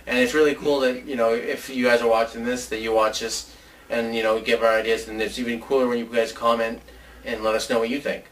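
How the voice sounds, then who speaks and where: A man's voice: medium pitch, flat delivery, brisk. Man chatting casually, away from the mic. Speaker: co-host of a video show about movie ideas. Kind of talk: casual chat. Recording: distant mic with faint background noise.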